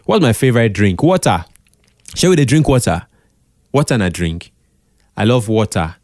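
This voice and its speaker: A Nigerian man with a deep voice gives relaxed, conversational interview answers. He is expressive, drops into Pidgin and repeats phrases for emphasis. The sound is dry, with little room around it. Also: moderate pace, no room sound. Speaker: podcast guest, radio drama producer. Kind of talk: casual interview chat.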